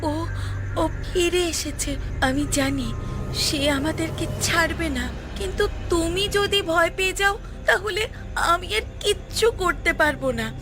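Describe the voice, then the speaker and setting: A woman plays a dead wife's ghost, high-pitched and urgent. She speaks like a conspirator in a horror cartoon, with music clearly behind her. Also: a little slow, pauses often. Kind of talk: cartoon horror drama acting.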